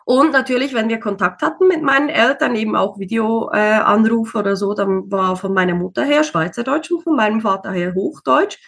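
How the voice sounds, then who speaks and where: Woman, 30s, interview guest; relaxed chatter, light laughs, slightly raised pitch. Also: fairly quick, vocal fry, hardly any pauses, husky. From Switzerland. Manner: chatty, many fillers, laughs easily. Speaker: interview guest, single mother. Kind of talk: casual conversational interview.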